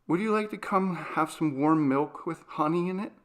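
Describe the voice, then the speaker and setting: A tender, anxious mother from a storybook ghost tale, as a man reads her. The voice sits higher than plain narration and comforts a child. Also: moderate pace, lightly acted. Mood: worried, tender.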